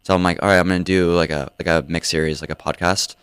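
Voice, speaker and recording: Laid-back low-voiced man, smooth. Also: little pitch movement, fast talker, fairly bright tone, no room sound. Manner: laid-back, many "like" fillers. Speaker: DJ and podcast host guest. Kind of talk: casual interview answers.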